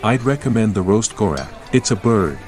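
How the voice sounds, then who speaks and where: A man plays an older military commander in a sci-fi audiobook. The voice sits fairly low, plain-spoken and awkwardly fatherly, over faint music. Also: very animated, normal speed, darkish tone, some chatter behind. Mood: awkward, friendly. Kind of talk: audiobook character voice.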